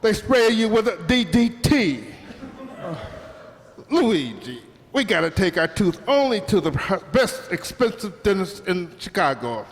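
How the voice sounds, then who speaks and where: A man's voice: high voice, sing-song, measured pace, big-room sound, heavily acted. A man playing a pushy, scheming Italian immigrant restaurant owner in an old-time radio comedy, exclaiming and wheedling in broken English with an Italian accent. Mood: persuasive.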